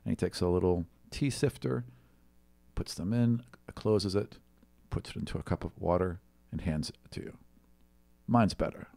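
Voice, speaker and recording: A man's voice: low voice, moderate pace, gravelly, warm tone, no echo. A man improvising narration as game master of a tabletop fantasy game.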